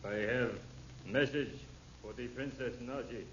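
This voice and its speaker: An actor playing a menacing male assassin who talks in slow, halting broken English, in an old radio drama. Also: mid-range voice, slight background noise, natural voice. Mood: insistent. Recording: dull old radio recording.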